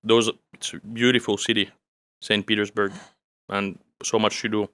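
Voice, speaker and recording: A young man from Finland who grew up in France, speaking as an interview guest in a calm, measured way with frequent pauses and fillers. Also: on the low side, dry recording. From Finland, raised in France. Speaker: guest, OSINT analyst. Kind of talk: casual interview conversation.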